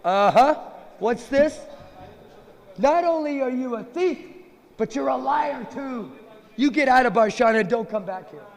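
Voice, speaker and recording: A man putting on a bossy young woman friend, high and pushy, scolding and urging, heard in a hall with a long, lingering echo. Her voice jumps sharply up and down as she nags. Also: moderate pace, gravelly, heavily acted. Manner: loud, pushy, excited.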